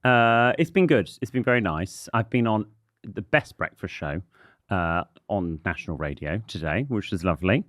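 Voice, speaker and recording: A man co-hosting a casual podcast, his voice husky and raw while recovering from laryngitis, teasing and dry. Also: on the low side, lively intonation, fairly bright tone, no echo. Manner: dry, teasing, quick with jokes. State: recovering from lost voice, raw throat. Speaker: podcast co-host. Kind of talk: casual chat.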